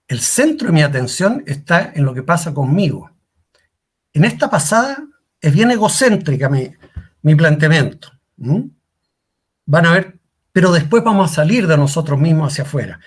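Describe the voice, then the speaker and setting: A man's voice: on the high side, breathy edge. A middle-aged man giving a career workshop talk as a coach, asking rhetorical questions and repeating key lines for emphasis. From Chile.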